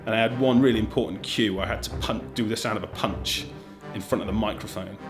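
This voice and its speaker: Male interviewee, animated self-deprecating storyteller, clear music underneath. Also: lowish voice, fast. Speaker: audio drama director. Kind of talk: casual interview anecdote. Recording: music playing behind the voice. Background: background music.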